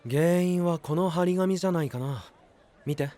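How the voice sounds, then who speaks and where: A man playing a gentle, level-headed young knight in an anime-style voice drama, speaking casually and thoughtfully. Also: fairly high pitch, normal speed, a faint crowd, natural voice. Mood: friendly. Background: music.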